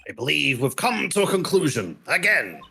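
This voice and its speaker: A woman playing a formal, deliberate courtroom judge in an amateur live game dub. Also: sits low, sing-song, creaky, sharp tone, heavily acted. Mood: solemn. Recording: faint background noise.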